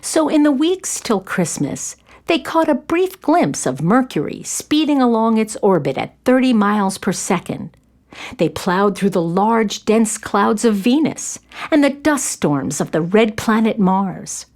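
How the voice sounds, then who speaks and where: A woman's voice: mid-range voice, very animated, unhurried, darkish tone. A woman narrating a scripted children's story as its storyteller.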